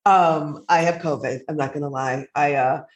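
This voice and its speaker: A woman co-hosting a podcast, stuffy and low-voiced while recovering from an illness, talking bluntly and with passion, often swearing. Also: steady tone, normal speed, echoey room. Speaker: podcast co-host. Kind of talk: casual chat.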